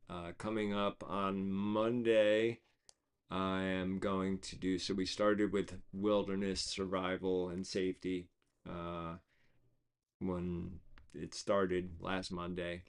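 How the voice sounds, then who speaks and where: Deep gravelly guy rambling slow on a livestream, hoarse, lots of ums. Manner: rambling, many fillers and restarts. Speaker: host of an online reading series. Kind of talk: casual livestream chat.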